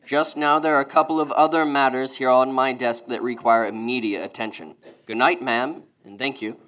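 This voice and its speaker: Guy playing a bored cop at the desk, brusque, talks down to you, radio thriller play, medium pitch. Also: fairly even intonation, a bit bright, highs cut off, natural voice. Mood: dismissive, official. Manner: patronizing, unhurried, brusque. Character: bored, dismissive, placating city police desk sergeant. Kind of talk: live radio-thriller theater acting.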